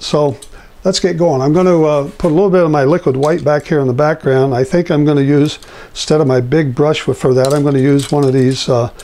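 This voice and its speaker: Older man, raspy voice, warm tone, halting painting-lesson talk, indoor studio. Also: medium pitch. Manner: unhurried, halting, with frequent filler pauses. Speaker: painting instructor. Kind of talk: live painting lesson, talking while painting.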